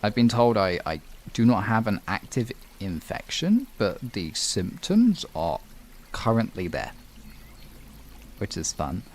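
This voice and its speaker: A man's voice: fairly low pitch, very animated, takes it slowly, a little hiss or hum. A man with a British accent hosting a livestream, chatting casually and rambling informally with some swearing. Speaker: livestream host. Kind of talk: casual chat with stream viewers.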